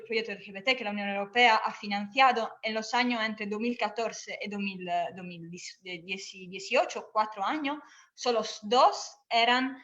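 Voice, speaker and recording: The audio is a slightly muffled online call. A fairly high-pitched woman, not a native Spanish speaker, speaks on a panel. Her phrasing is halting, with words repeated as she looks for the next one. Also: fairly bright tone. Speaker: activist on a panel.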